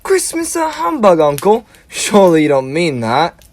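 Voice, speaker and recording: The upbeat nephew, kinda high voice, earnest and pleading, faint hiss. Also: male voice, huge pitch swings, moderate pace. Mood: cheery. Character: cheerful earnest young nephew. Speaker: stage actor. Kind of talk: high school stage play.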